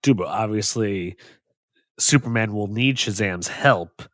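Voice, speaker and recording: A man's voice: lowish voice, unhurried, fairly bright tone, dry recording, clean studio sound. A man co-hosting a podcast, bantering casually in slang, opinionated and given to acting out quotes. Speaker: podcast co-host.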